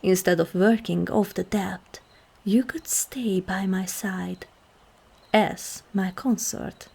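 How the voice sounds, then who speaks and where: A woman playing a seductive, regal demon queen in an ASMR roleplay, calm and commanding, with slow, formal speech that often drops into breathy whispers. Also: medium pitch, full character voice. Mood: measured, enticing. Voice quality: breathy, husky.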